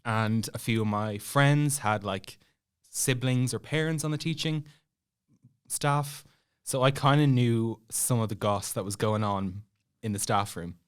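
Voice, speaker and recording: A man's voice: mid-range voice, measured pace, clean tone. A young Irish man telling a story as a podcast guest in a casual, chatty, self-deprecating way. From Ireland.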